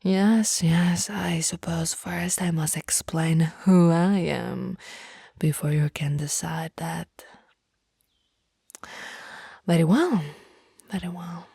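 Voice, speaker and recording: A woman performs a female vampire in love, formal and devoted yet predatory, for an ASMR roleplay. Her voice is low-leaning and dark, often dropping into a breathy, husky whisper. She speaks theatrically, with lingering pauses, close to the microphone. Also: dry recording, heavily acted. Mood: pleading, devoted. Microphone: close, dry microphone.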